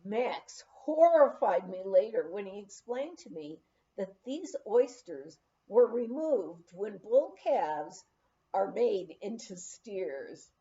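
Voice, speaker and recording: Expressive, unhurried woman reading a memoir. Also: mid-range voice, muffled recording. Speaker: audiobook reader.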